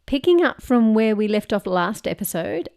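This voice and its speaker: A woman's voice: medium pitch, big pitch swings, normal speed, warm tone, smooth, soft background music. An Australian woman podcast host reading an upbeat scripted episode introduction.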